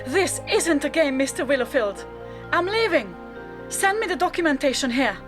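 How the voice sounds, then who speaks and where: A woman plays an earnest female conservationist in a radio drama. Her voice sits fairly high and her delivery is measured and formal. Light music plays underneath. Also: very animated. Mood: indignant. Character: Pat, European wildlife conservationist, earnest, confused by memory loss.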